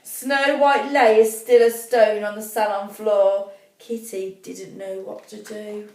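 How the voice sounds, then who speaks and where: A woman reading a children's story as expressive, deliberate narration, pausing to put questions to young listeners. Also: medium pitch. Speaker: primary school story reader. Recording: echoey room, distant mic.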